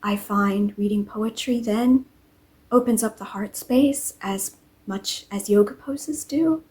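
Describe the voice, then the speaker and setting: A woman narrating a cozy home vlog in a gentle, unhurried way. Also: mid-range voice. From English-speaking country. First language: English. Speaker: vlogger. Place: home in Norway.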